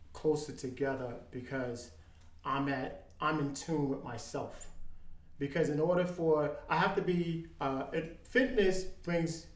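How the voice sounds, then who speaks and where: A man in his fifties talks earnestly in a relaxed podcast chat, stopping and restarting his thoughts with frequent ums. His voice sits a little high for a man. The room around him is echoey, with a faint hiss underneath. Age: 50s. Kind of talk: casual chat.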